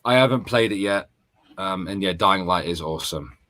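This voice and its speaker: Male co-host for a gaming stream, low voice, casual joking banter with some swearing. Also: normal speed, a bit bright, faint music behind.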